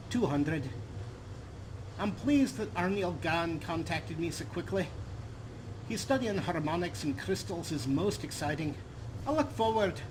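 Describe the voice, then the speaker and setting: Man reading as an earnest high-voiced fantasy scholar. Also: close to an everyday voice. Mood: pleased, hopeful. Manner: formal, emphatic, rhetorical. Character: earnest, fussy fantasy scholar writing a research journal. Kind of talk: dramatic reading of a game journal. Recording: clear background noise under voice.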